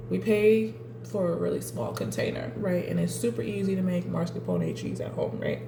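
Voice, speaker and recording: A woman's voice: fairly low pitch, gravelly, reverberant, noisy background. Congested woman hosting a cooking lesson. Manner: chatty, keeps adding "right?". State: congested from a cold. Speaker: cooking channel host. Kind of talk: casual cooking tutorial. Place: home kitchen.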